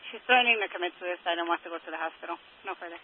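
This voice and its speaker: High-voiced male police dispatcher on tinny radio. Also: racing. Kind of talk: procedural radio dispatch talk. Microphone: two-way radio heard over a scanner. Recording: tinny, noisy police scanner radio with clicks.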